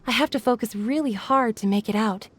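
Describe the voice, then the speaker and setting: A woman playing a guarded young village woman in a video game, speaking in a hesitant, measured, confiding way. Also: fairly high pitch, close to an everyday voice. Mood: confiding, thoughtful. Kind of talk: dramatic video game dialogue.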